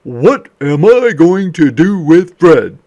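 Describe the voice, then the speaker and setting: Picture-book dad, exasperated and emphatic, swooping in pitch played by a man. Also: very animated, slow pace, a little mellow, highs cut off, heavily acted. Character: exasperated father fed up with a troublesome dog.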